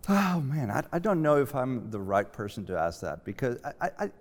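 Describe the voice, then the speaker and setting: A middle-aged man from Latin America talking in a panel discussion, haltingly thinking aloud with repeated false starts. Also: mid-range voice, sing-song. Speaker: panel guest. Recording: long hall-like reverb.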